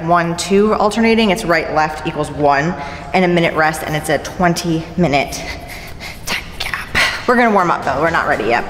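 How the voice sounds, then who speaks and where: Low-pitched young woman in an echoey gym. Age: young adult. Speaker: fitness vlogger. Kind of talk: casual chat to camera. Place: large indoor gym with hard open space. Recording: echoey gym hall, music over it.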